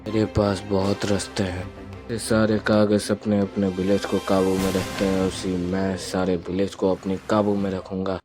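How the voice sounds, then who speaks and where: A man's voice: low voice, completely flat delivery, moderate pace, close to an everyday voice. A man voicing a brooding, power-hungry young ninja rival with cold, menacing declarations, in an amateur anime fan dub. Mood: cold, defiant. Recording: music behind the voice.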